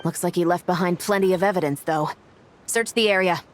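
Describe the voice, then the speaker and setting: A woman playing a cool, resolute law enforcement investigator in a video game, measured and serious. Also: on the low side, a little hiss or hum, lightly acted. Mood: analytical.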